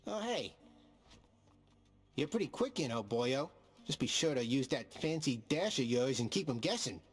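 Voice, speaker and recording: An actor playing a breezy, friendly young god in a video game, chummy and jaunty with slangy advice. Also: male voice, mid-range voice, big pitch swings, moderate pace, husky. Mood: upbeat. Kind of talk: video game character acting.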